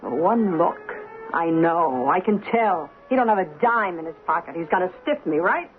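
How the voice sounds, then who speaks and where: Actress as a lively, chatty New diner woman. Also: lowish voice, moderate pace, lightly acted. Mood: amused, chatty. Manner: wry, folksy, colloquial. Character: chatty, good-natured working-class diner counter woman. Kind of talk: old-time radio drama acting. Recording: tinny radio recording, faint music.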